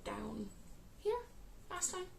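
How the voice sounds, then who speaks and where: A woman with a West Midlands accent, hosting a casual crafting podcast, croaky from a cold and filling pauses with ums. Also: on the high side, sing-song, background noise. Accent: West Midlands English. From England. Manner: chatty, many ums, unhurried.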